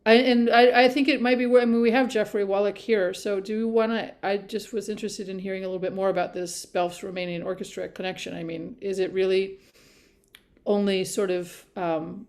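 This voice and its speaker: A woman's voice: mid-range voice, fairly even intonation, brisk. Casual woman hosting a video call. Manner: casual, questioning. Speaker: host. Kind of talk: hosting a panel discussion.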